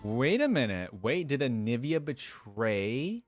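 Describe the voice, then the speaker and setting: A man's voice: medium pitch, very animated, unhurried. A man hosting a game reaction channel, chatting casually and enthusiastically as he comments. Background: faint music.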